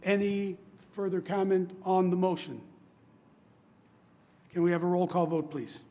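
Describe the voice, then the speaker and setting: A man chairing a public commission meeting, hoarse from laryngitis, running votes in a steady, polite, procedural way. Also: high-pitched, measured pace. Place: council chamber.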